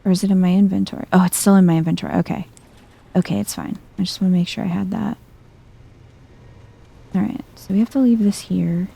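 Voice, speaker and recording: Very soft, dark-voiced woman, husky and creaky. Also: fairly even intonation, fairly quick, long pauses. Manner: chill, low-key, thinking aloud. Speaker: video game streamer. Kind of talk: soft-spoken ASMR gameplay commentary.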